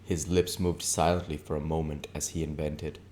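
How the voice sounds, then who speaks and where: A man reading literary narration for an audiobook, with measured, deliberate phrasing. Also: very deep voice, level tone, darkish tone, slight background noise. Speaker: audiobook reader.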